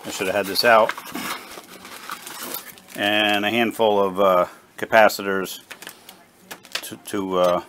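Low-voiced man explaining, noisy background. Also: level tone, slow, pauses often. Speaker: presenter of a radio repair video. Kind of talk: casual hands-on demonstration, unscripted. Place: small indoor workshop at a workbench.

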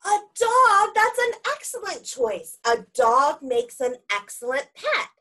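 A woman's voice: high voice, big pitch swings, takes it slowly, pushed and bright, full character voice, rough recording. A woman playing an eager, persuasive animal shelter worker in a children's storybook, with coaxing, exaggerated delivery. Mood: bright, coaxing. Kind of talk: theatrical children's storybook read-aloud.

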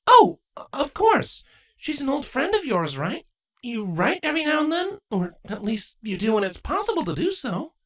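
A woman voicing a bookish, excitable young female changeling princess in a storybook reading, earnest and easily flustered. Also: high voice, normal speed, pitched above the performer's own voice. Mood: surprised.

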